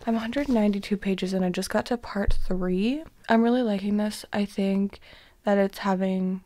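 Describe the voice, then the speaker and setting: A woman with a hoarse voice, worn out from staying awake all night, gives a casual vlog update at home. She talks in a tired, rambling half-whisper so she won't wake anyone. Her pitch sits in an ordinary middle range. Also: steady tone, moderate pace, mellow. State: exhausted from no sleep. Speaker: book vlogger.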